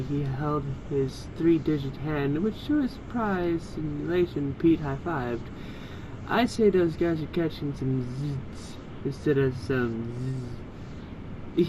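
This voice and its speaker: A man's voice: on the high side, slow, dull audio, noisy background. A man reading a story aloud in an amateur style, with casual asides. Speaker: co-reader of fan fiction.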